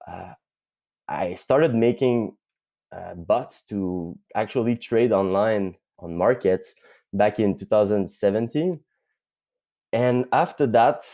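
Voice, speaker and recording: A young man from Quebec with a Quebec French accent, speaking as a guest on a tech podcast interview and pausing often with fillers. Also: lowish voice, dark tone. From Quebec, Canada. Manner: thoughtful, many filler pauses. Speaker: guest, tech company CTO.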